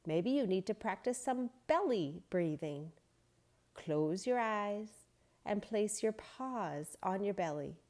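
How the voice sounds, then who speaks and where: Woman reading a gentle little girl yogi in a storybook, slow and soothing, lively lilt. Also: mid-range voice. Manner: slow, soothing, guiding breathing.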